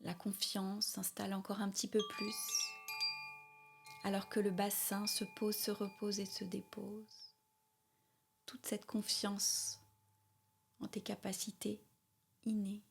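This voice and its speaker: A woman guiding a yoga nidra meditation in a soft, breathy, calm and soothing voice, with long pauses and repeated phrasing. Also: mid-range voice. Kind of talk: guided meditation, spoken slowly.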